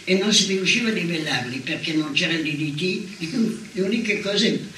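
Bright, sharp older Italian woman, echoey. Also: fairly low pitch, expressive, normal speed. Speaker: former partisan giving testimony. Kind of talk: personal oral-history testimony. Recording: echoey room, distant mic, faint noise.

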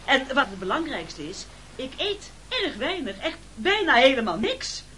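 Een vrouw die in een hoorspel een quasi-bescheiden, vleierige kok speelt die aarzelend een tafelrede houdt. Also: female voice, sits high, very animated, measured pace, pushed and bright, slight background noise, exaggerated voice. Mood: ingratiating, nervous. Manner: hesitant, self-effacing, ingratiating. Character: falsely modest, wheedling cook pleading before diners. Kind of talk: Dutch radio play acting, speech-making.

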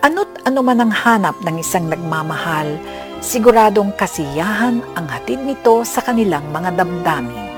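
Animated woman narrator over music. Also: fairly low pitch, normal speed. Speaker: radio drama narrator. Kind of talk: scripted dramatic narration.

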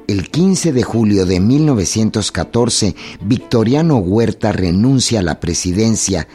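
Historical drama narrator: male, lowish voice, formal, measured storytelling with prominent string music. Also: lively intonation, warm tone, breathy edge. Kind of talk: scripted documentary-drama narration.

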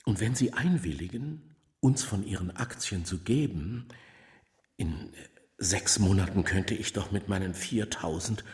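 Humble older working man, low-pitched. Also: lively intonation, darkish tone. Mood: grateful, pleading. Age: 50s. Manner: halting, timid, earnest and grateful. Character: humble, deferential working man of fifty, proud father. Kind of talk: German radio drama acting.

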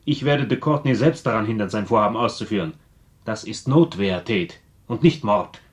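Commanding, ruthless tycoon. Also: male voice, lowish voice, expressive, normal speed. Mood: menacing, resolute. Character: ruthless, determined business tycoon plotting murder. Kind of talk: German radio play acting.

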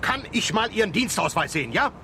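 An actor playing a self-important, easily offended lawyer in a radio play, pompous and blustering. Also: male voice, high voice, sing-song, a little fast. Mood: huffy. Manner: indignant, pompous, blustering, stammers when cornered. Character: huffy, self-important, envious male lawyer without a car. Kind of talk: 1980s German radio play acting. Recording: street and traffic noise behind.

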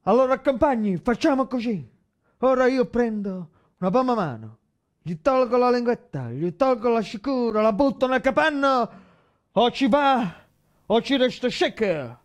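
An actor playing a hot-headed, earthy young Italian partisan in a theatrical radio drama, colloquial and exclamatory. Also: male voice, very high-pitched, expressive, moderate pace. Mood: urgent, resolute.

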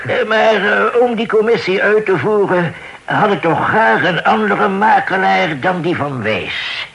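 An old-fashioned merchant boss from a radio play. His voice is husky and swings up and down as he deliberates slowly and fussily, full of hesitation. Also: high voice, expressive, fairly bright tone, exaggerated voice, poor audio. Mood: disapproving. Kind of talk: radio drama acting.

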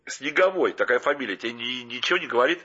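A man's voice: mid-range voice, lively intonation, fast talker, sharp tone, slightly rough, dull audio. An actor playing a nervous, evasive male friend on the telephone in an audio drama, hesitant and slangy. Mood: evasive, uneasy.

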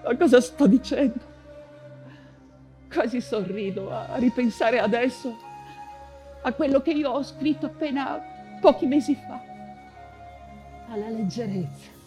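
An actress playing an anguished, proud woman writer in wartime Paris, in a theatrical monologue with halting, insistent repetitions. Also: a little high, warm tone, lightly acted. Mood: bitter regret.

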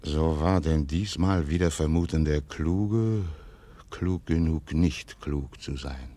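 Very deep, slow, stern aristocratic commander. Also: male voice, dark tone. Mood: brooding, grave. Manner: grave, measured, declamatory. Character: stern, calculating, commanding aristocratic father and military governor. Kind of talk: 1940s German radio play acting.

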